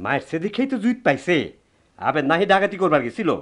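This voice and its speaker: A man's voice: high voice, big pitch swings, quick pace, fairly bright tone. A man playing a practical, protective young villager in a radio drama, earnest, with a rural Bangladeshi accent. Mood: cautious, resolved.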